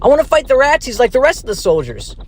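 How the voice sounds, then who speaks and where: An actor playing an eager, boyish young soldier sidekick in an audio drama. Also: male voice, very high voice, huge pitch swings, fast, a little hiss or hum, full character voice.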